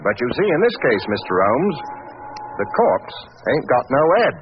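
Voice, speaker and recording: An actor playing a plain-spoken, gruff but deferential London constable with a Cockney accent in a 1940s radio drama. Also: male voice, mid-range voice, very animated, moderate pace, lightly acted. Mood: deferential, baffled. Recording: dull, noisy old radio recording.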